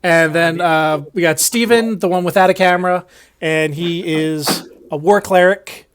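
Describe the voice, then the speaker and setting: A young man serving as dungeon master, with a voice that sits a little high. He speaks from a home microphone on a video call and introduces players in a loose, halting way, filling gaps with "uh". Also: background noise. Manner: hesitant, many "uh" fillers. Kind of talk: casual table talk, introducing players.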